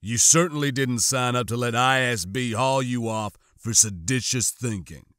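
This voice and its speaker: A man voicing a serious, wary stormtrooper who speaks slowly and firmly in an audiobook. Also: mid-range voice, fairly bright tone, no room sound, clean studio sound, lightly acted. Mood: stern warning. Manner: slow, firm, serious. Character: grave, wary male stormtrooper, guarded and cautioning.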